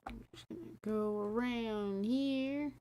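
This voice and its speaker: A woman streaming a building game, chatting casually in a rambling, self-deprecating way, her voice mumblier and deeper than usual. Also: takes it slowly, warm tone, slight background noise. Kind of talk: casual gameplay stream chat.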